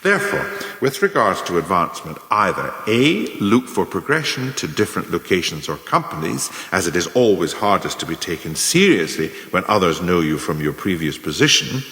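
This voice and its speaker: Casting: male actor as cynical, self-assured career mentor for radio play; formal rhetorical lecturing, highly varied intonation, heavy hall reverb. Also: big pitch swings, fairly bright tone, exaggerated voice. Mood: measured, cunning, instructive. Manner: formal, rhetorical, deliberate emphatic phrasing. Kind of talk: scripted dramatic monologue, like a lecture of numbered lessons. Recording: very echoey, long hall-like reverb.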